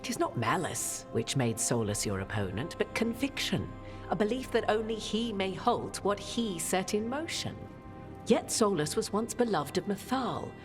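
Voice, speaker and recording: An actress playing an ancient, cryptic witch in a fantasy game, speaking in formal, archaic, deliberate and theatrical phrases. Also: mid-range voice, very animated, lightly acted. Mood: solemn, portentous. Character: ancient, imperious, cryptic witch carrying a goddess fragment. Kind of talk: dramatic fantasy game dialogue. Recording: studio voice over game music. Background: background music.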